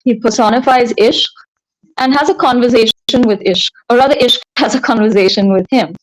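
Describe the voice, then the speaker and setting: A woman's voice: fairly high pitch, moderate pace, low-quality recording. A middle-aged woman talking as a guest in an interview, thoughtful and searching for her words. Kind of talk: reflective interview conversation.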